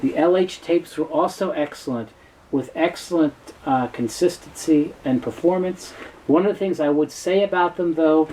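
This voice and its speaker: A man giving an informal explainer talk as a product presenter, speaking in a halting, explanatory way. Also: a little high, dark tone, poor audio. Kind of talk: informal explainer talk about audio gear. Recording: clear background noise.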